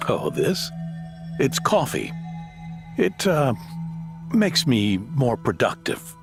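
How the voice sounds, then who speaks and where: An actor playing a kindly, thoughtful inventor in a video game, speaking gently and patiently like a father figure. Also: mid-range voice, wildly swooping pitch, takes it slowly, vocal fry, music in the background, heavily acted. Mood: hesitant, warm.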